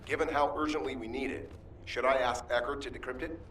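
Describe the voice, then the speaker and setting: A man's voice: medium pitch, brisk, fairly bright tone, far from the mic, a little hiss or hum, close to an everyday voice. A man voicing a dutiful, cautious covert operative in a video game, speaking formally and deferentially as a loyal subordinate. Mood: reporting.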